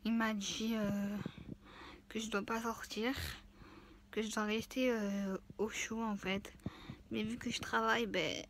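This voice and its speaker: A woman in her mid-twenties with the flu and a blocked nose talks casually to the camera. She rambles and hesitates, filling pauses with fillers. Faint noise sits under her voice. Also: medium pitch, little pitch movement. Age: 20s. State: stuffy-nosed from the flu. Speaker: vlogger. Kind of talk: casual chat.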